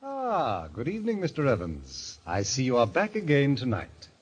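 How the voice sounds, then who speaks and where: Suave, sinister club president, swooping delivery. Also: male voice, mid-range voice, wildly swooping pitch, moderate pace, slightly rough. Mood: smooth, courteous. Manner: formal, urbane, coolly courteous. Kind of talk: old-time radio drama acting. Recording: muffled vintage radio recording.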